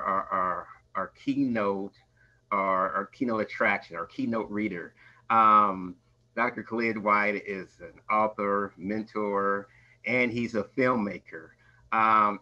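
A man's voice: on the low side, pushed and bright. An American man informally hosting an online event from an office over a video call. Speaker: event host. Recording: slightly dull video-call audio.

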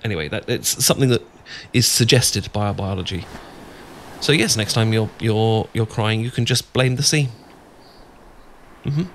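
A man's voice: fairly low pitch, moderate pace, fairly bright tone, a little hiss or hum. A man with a British accent acting an awkward, easily flustered, bashful friend in a romantic ASMR audio roleplay, stammering and rambling as he goes. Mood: awkward, musing.

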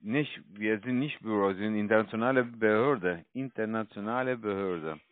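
A man's voice: fairly low pitch, moderate pace, no echo. Foreign-accented man on a thin phone line. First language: not German. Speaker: call centre caller posing as official. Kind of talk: scripted sales phone pitch.

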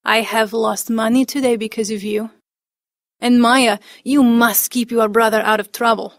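A woman voicing a stern, angry immigrant father who speaks severely and slowly. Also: fairly high pitch, steady tone, no room sound, clean studio sound, lightly acted. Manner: severe and slow.

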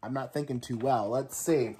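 A young man in an animated read-aloud counting lesson for small children, full of exaggerated wonder and questions. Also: mid-range voice, moderate pace, breathy edge. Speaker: teacher reading a children's book aloud. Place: small room at home, indoors.

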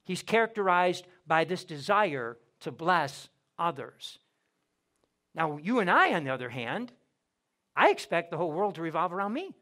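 Guy preaching a sunday sermon, fairly high voice, gets loud then drops way down. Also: big pitch swings, moderate pace. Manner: emphatic preaching, rising and falling in volume, repeats words. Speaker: pastor. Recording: audio of a church sermon.

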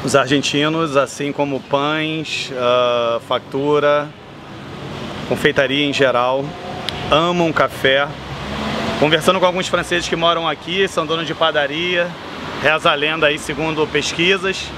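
A man's voice: a little high, fairly even intonation, unhurried. A man in his thirties with a Brazilian accent, enthusiastically chatting through a travel vlog, filmed on a handheld camera at arm's length outdoors. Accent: Brazilian Portuguese. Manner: enthusiastic, chatty, lists things off. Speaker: travel vlogger. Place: city sidewalk and café terrace outdoors. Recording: street noise behind.

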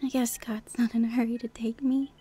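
A woman's voice: a little high, little pitch movement, a little fast, mellow, slightly rough. An actress playing an earnest, devout wife in a radio drama, acting and narrating her heartfelt testimony. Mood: gentle, assured.

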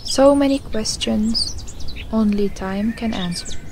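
A woman's voice: fairly high pitch, slow pace, darkish tone. A woman narrator reading a scripted bedtime story for children. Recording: clear background noise with birdsong. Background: birdsong.

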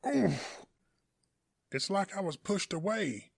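Guy doing a husky gravelly superhero, plucky, really animated. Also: sits high, slow pace, no echo, clean studio sound, pitched above the performer's own voice, heavily acted. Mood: baffled, wry. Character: plucky, wry male superhero.

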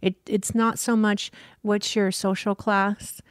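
A woman's voice: mid-range voice, little pitch movement, slow pace, dry recording, clean studio sound. Passionate woman surf school founder. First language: French. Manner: earnest, passionate, laughs easily. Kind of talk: casual interview talk.

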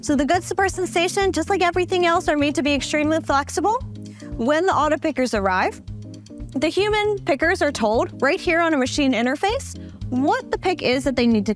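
Upbeat young woman giving a product tour. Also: sits high. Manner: upbeat, polished sales delivery. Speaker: company presenter. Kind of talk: scripted product demo tour. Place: large warehouse floor.

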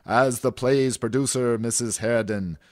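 A man's voice: mid-range voice, fairly even intonation, moderate pace, darkish tone, lightly acted. A man playing a self-pitying, theatrical has-been stage actor in a comedy audio drama, declaiming like a mock-Shakespearean. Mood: woeful, dramatic. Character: pompous, fading old-school stage actor, self-pitying.

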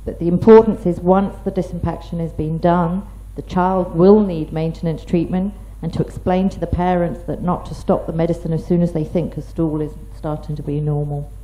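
Lady doctor on a panel, lowish mellow voice, explains stuff, muffled mic. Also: steady tone, moderate pace, hardly any pauses, a little hiss or hum. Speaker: paediatrician panelist. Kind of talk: explaining in a medical panel discussion. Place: conference hall, seated at panel table. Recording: dull conference microphone recording.

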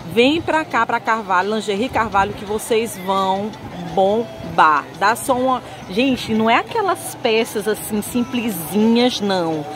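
High-voiced Northeastern Brazilian woman, market vlogger. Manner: chatty, addresses viewers as friends. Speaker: shopping vlog host. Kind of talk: enthusiastic sales-style vlog presenting. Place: indoor market lingerie stall.

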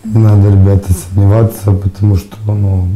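A young man with a hoarse, worn voice speaking quietly and haltingly in an interview, recorded on a lapel mic in a studio. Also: fairly low pitch, flat delivery, noisy background. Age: young adult. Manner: quiet, halting, often dropping to a whisper. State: hoarse, lost his voice. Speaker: patient giving a testimonial. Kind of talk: interview testimonial. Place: studio, plain dark backdrop.

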